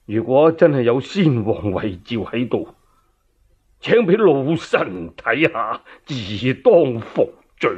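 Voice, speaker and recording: A man playing a righteous, loyal old court minister who questions sternly and deliberately, in a radio story. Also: medium pitch, sing-song, a little mellow, husky, highs cut off, pitched below the performer's own voice, full character voice. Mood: firm.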